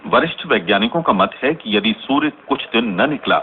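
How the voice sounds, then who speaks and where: Formal male newsreader acted for a radio play: lowish voice, measured and grave, thin noisy radio sound. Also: animated, natural voice. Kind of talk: scripted Hindi news bulletin in radio drama. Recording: noisy, tinny narrow-band radio recording.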